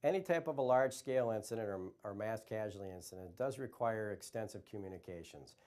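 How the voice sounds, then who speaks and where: Male American trainer, lower register, explaining procedures in a halting, step-by-step manner. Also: a little mellow. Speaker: EMS trainer. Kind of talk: instructional presentation.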